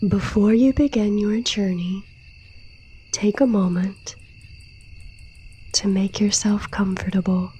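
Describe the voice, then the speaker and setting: A woman softly narrating a scripted sleep meditation in a hushed, breathy whisper, soothing and unhurried, with long pauses. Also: mid-range voice, fairly even intonation.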